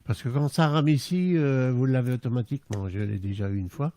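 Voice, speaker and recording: A man hosting a casual live stream, talking in a relaxed, mellow voice with noticeable creak. His mid-pitched speech is recorded dry and close. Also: fairly quick, dry recording. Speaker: host of an online live music stream. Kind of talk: casual chat.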